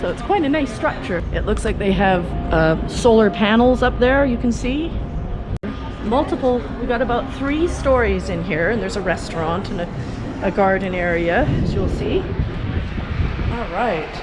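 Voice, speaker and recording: Woman vlogging a walk around, chatty n unhurried, mid pitch, really loud background noise, handheld cam sound. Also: animated. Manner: chatty, unhurried, musing aloud as she looks around. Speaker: vlog host giving a walking tour. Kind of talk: casual sightseeing commentary while walking. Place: high viewing terrace and indoor rooftop garden. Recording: noisy handheld video with urban ambience.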